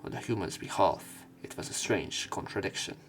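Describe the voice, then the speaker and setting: A man's voice: low voice, moderate pace, vocal fry, a bit bright, low-quality recording. A man reading visual novel text aloud as a let's play narrator, sometimes stumbling and rereading lines.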